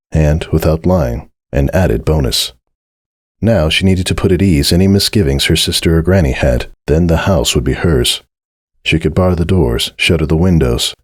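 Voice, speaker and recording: Very deep male story narrator, dry sound. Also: a little mellow, slightly rough, no echo. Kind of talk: dramatic scripted story narration.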